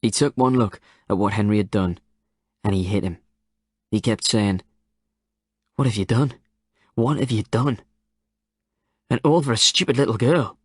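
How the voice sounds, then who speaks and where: A man's voice: lowish voice, normal speed, long pauses, husky, no echo, clean studio sound. A man voicing a frail, tearful old man. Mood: quiet, haunted. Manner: sobbing confession, pleading.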